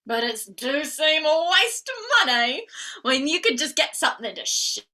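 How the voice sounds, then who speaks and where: A woman's voice: high voice, sing-song, normal speed, bright, forceful tone, exaggerated voice. A woman playing a proud, cheeky young working-class flower girl with a Cockney accent in a staged play reading. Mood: eager, proud. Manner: cheeky, ungrammatical, indignant.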